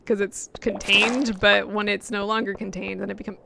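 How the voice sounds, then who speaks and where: A young adult woman streaming a game, chatting casually with lots of fillers, her voice getting hoarse after hours of talking. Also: mid-range voice, little pitch movement, normal speed, background noise. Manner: chatty, rambling, many fillers and laughs. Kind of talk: casual chat while gaming.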